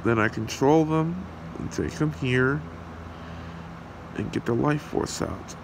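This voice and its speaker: The obsessed demon you'd meet in a homemade ASMR horror roleplay played by a man, croaking slow, smug taunts over steady hiss. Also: mid-range voice, voice pushed up. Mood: scheming. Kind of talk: amateur ASMR roleplay acting. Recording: steady hiss and hum behind voice.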